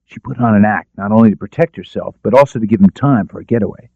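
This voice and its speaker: A man's voice: on the low side, quick pace, creaky, dry recording, narrow, tinny audio. A man voicing a shrewd, decisive lawyer-detective in an audiobook, giving confident, clipped orders. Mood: commanding. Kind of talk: audiobook character dialogue.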